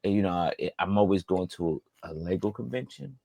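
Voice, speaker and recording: A man's voice: low-pitched, normal speed, gravelly, warm tone, no room sound. A man co-hosting a podcast, telling a rambling story full of fillers and laughter. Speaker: podcast co-host. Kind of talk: casual chat.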